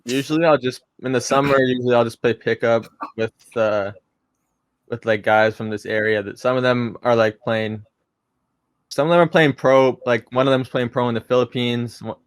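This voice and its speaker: A man's voice: mid-range voice, normal speed, no room sound. A young man in his twenties talks casually as a podcast guest. He rambles a bit, restarting his sentences as he goes. The sound is close and dry. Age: 20s. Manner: relaxed, rambling, with frequent restarts and fillers.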